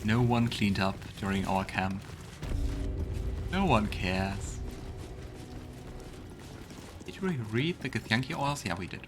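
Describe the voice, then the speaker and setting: A man's voice: on the low side, animated, slow, pauses often, over music. A man hosting a let's play, giving casual game commentary with wry, joking asides. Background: game ambience.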